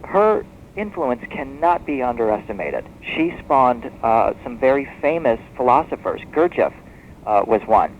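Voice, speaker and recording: A man speaking as an expert guest in a radio interview, explaining history as a story in a measured, discursive way. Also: medium pitch, big pitch swings. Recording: muffled noisy shortwave radio tape.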